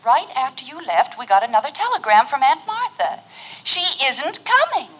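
A woman's voice: high-pitched, very animated, normal speed, a bit bright, dull audio. A woman playing an exasperated American wife trying to be heard in a 1950s radio sitcom. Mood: exasperated, urgent. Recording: noisy old radio recording.

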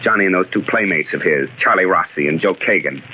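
A tinny, faintly noisy vintage radio recording of a male actor as a young American prosecutor turned investigator. His voice is on the low side. He speaks with measured, sardonic assurance, needling like a forties crime drama hero. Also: close to an everyday voice.

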